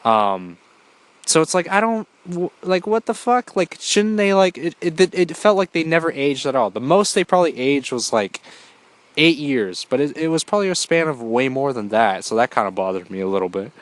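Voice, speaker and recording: A man's voice: on the high side, big pitch swings, moderate pace, no echo. A man hosting his own show, talking casually through a movie review while congested from a cold, rambling with lots of fillers and the odd swear. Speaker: podcast host.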